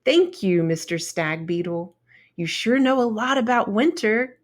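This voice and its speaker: A woman's voice: on the high side, lively intonation, unhurried. A woman voicing a sweet, naive little female ladybug, small-voiced and earnest, in a children's storybook read-aloud. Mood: grateful.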